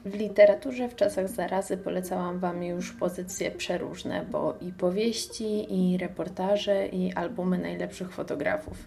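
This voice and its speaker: A woman's voice: fairly low pitch, fairly even intonation, unhurried, gravelly, hardly any pauses, mellow, soft background music. A woman, hosting a radio programme and introducing it from a prepared script. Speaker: radio host.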